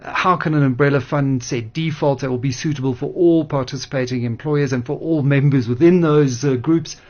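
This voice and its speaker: A man conducts a radio discussion. He is articulate and measured, with formal phrasing and a middling pitch. The audio is a little dull and lo-fi. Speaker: radio interviewer.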